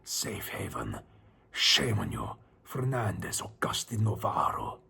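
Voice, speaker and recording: A man voicing an anxious, brooding Spanish narrator of a horror story, theatrical and often dropping to hushed tones, with a Spanish accent. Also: low voice, big pitch swings, takes it slowly, gravelly, husky, pitched below the performer's own voice, exaggerated voice. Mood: shaken, self-reproaching. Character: anxious, self-questioning Spanish man narrating his descent into madness.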